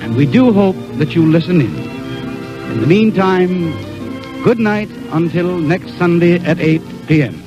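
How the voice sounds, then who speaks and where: A courteous man hosts in formal 1930s radio style. His voice is a little high. Music plays clearly behind him on a dull old broadcast recording. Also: animated, measured pace. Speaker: radio show host. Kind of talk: 1930s radio show sign-off announcing.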